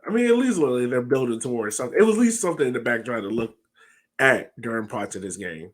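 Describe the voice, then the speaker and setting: A man's voice: medium pitch, expressive, fast talker. An American man hosting a sports podcast, chatting casually on a headset over a video call. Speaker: podcast host. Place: small home room, indoors.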